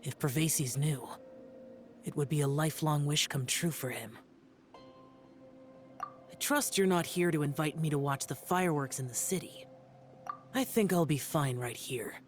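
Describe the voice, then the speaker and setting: A man's voice: fairly high pitch, natural voice. A man playing an aloof, stoic guardian warrior in a video game, solitary and solemn, with a reserved, detached delivery.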